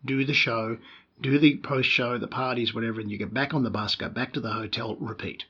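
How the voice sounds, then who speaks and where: An Australian man with a husky, slightly breathy voice at an average pitch, talking loosely as a podcast guest. He tells long, rambling stories, often restarting his sentences. The audio sounds dull and lo-fi. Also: fairly even intonation, fairly quick. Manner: storytelling, with frequent restarts and fillers. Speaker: guest, actor and film co-director. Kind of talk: relaxed podcast conversation.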